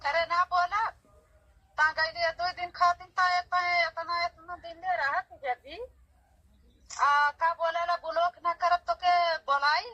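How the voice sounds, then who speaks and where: Very high, sharp-voiced scolding Bhojpuri mother. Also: flat delivery, measured pace, no room sound, low-quality recording. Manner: scolding, dismissive. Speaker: mother on a phone call. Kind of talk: phone argument.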